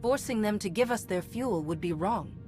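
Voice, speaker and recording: An actress playing a composed, principled commander in a video game, speaking politely and in a measured way. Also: female voice, medium pitch, expressive, natural voice. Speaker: Jedi commander. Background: music.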